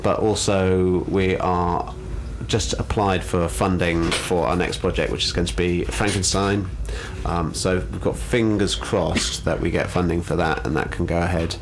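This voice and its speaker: Man, very low pitch, casual interview chat, enthusiastic, hesitant asides, clear background ambience. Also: fairly even intonation, moderate pace, hardly any pauses, slight background noise, low-quality recording. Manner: enthusiastic, hesitant phrasing with many hedged asides. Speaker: theatre company co-founder, radio guest. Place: radio studio.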